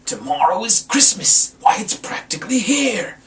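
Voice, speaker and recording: A man's voice: sits high, very animated, normal speed, a bit bright, pitched above the performer's own voice. A man voicing a sour, scheming old Grinch creature in a dramatic storybook reading, with a snarling, sneering delivery. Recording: distant mic, some background noise.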